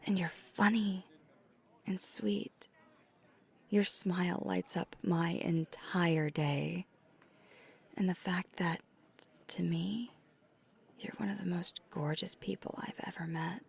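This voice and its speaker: A woman playing a tender, flirty vampire in an ASMR roleplay, speaking in a breathy, often whispered voice with earnest, hesitant pauses. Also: on the low side, darkish tone, heavily acted. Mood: adoring, tender. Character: flirty, tender female vampire confessing to a date. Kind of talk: soft ASMR roleplay, intimate confession.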